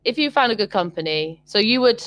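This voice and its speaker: A woman's voice: medium pitch, expressive, fairly quick, a bit bright, dry recording. A young British woman tutoring over a video call, chatting casually with frequent ums and restarts. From Britain. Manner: hesitant, many ums and restarts. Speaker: online English tutor.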